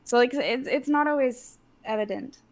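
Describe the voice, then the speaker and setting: A woman with a fairly high, strongly creaky voice, speaking close to her own microphone. She talks casually in a halting way, often restarting sentences and laughing easily. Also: darkish tone. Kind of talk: casual chat. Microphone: close personal microphone.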